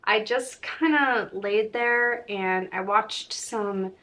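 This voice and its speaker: A woman's voice: mid-range voice, slow, sharp tone. A young woman vlogger talking to her viewers in a chatty, informal way. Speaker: crochet vlogger and host. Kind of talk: casual chat to viewers.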